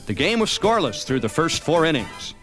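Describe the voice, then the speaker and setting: American guy narrating a baseball highlight film, voice a bit high, music under him. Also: sing-song, a little fast. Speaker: documentary narrator. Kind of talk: scripted sports highlight narration.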